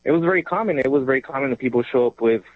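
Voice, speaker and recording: A man's voice: medium pitch, lively intonation, very fast, no echo. A man from Mexico in his thirties calling a radio show from his car, talking casually and hesitantly with lots of ums. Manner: rambling, hesitant, lots of ums, laughs easily. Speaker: caller. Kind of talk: casual call-in chat. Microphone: phone. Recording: tinny phone line from a car.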